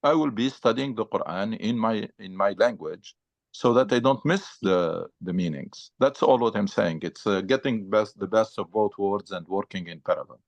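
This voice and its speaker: A man teaching a study session and taking questions from his listeners. His voice sits fairly low, and the recording is dry and close. He explains patiently, step by step, filling pauses with ums and uhs. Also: no echo. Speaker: religious teacher.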